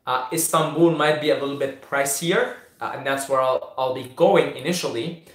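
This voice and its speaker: A man's voice: medium pitch, moderate pace. A young man originally from Syria, hosting a casual live question-and-answer stream, who often repeats his words and talks through fillers and restarts. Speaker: host answering viewer questions. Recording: echoey room.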